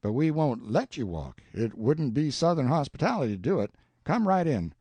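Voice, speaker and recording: A man voicing a kindly older Southern gentleman who speaks in a genial, courtly way. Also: mid-range voice, very animated, normal speed, slightly rough, dry recording.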